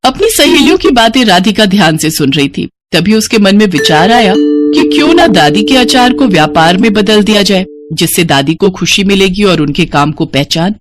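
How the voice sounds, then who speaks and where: A woman's voice: mid-range voice, expressive, normal speed, over music. A woman storyteller delivering scripted narration for a moral bedtime story.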